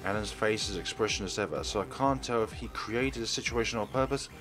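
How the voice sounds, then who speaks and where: Low-voiced man reading a game aloud. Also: fairly quick. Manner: dramatised reading with small stumbles. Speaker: let's play host. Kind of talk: reading a visual novel aloud, switching character voices. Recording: music behind the voice. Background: game music.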